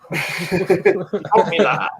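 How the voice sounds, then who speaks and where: A man's voice: high-pitched, extremely slow, a loud crowd. A man with an English South African accent, chatting loosely as a guest on a live stream, rambling and swearing casually. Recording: roomy mic with faint noise.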